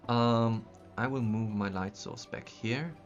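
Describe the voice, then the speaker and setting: A man's voice: mid-range voice, takes it slowly. A young man from Austria, a native German speaker with an Austrian accent, chatting on a live stream while building a map. He thinks aloud with many ums and restarts. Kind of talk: casual live-stream chat. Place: small room at a desk, indoors. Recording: slightly dull sound, faint music.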